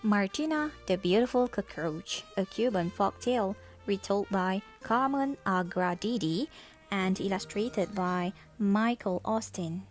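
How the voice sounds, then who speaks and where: A woman reading a children's storybook aloud as scripted narration. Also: mid-range voice. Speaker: storybook reader.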